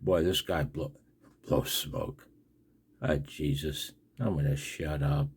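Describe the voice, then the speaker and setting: An older man from the United States in a casual, unscripted vlog as a radio operator, blunt and wry with a gravelly, husky voice. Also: a bass voice, fairly even intonation, slow. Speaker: amateur radio operator and video host. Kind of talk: casual, unscripted video commentary.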